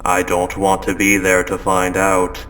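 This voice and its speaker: A man voicing a calm, controlling spaceship AI gone quietly insane, speaking with measured, polite, coldly insistent phrasing in a scripted audio drama. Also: sits low. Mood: quietly fearful. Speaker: audio roleplay performer. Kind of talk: scripted second-person audio drama monologue.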